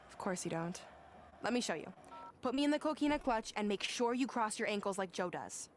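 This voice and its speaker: A woman's voice: medium pitch, expressive, a little fast, a little hiss or hum, lightly acted. A woman voicing a bossy, sarcastic young wrestler turned coach in a video game cutscene, curt and condescending.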